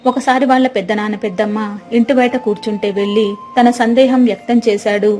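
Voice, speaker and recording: A woman's voice: fairly high pitch, steady tone, fairly quick, darkish tone. A woman giving scripted narration of a moral story.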